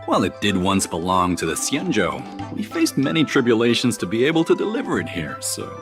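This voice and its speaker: A man's voice: on the low side, huge pitch swings, fairly quick, music in the background. A man playing an earnest, proud envoy from a distant planet in a video game, eager and formally polite. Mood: politely firm. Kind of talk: scripted video game dialogue.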